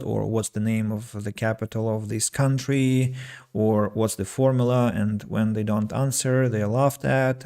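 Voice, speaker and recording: A man's voice: medium pitch, gravelly, a little mellow. A man from Russia, an English teacher talking through his thoughts on a solo podcast, reading out comments and restarting phrases as he thinks. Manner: reflective, reads listener comments aloud, frequent fillers and restarts.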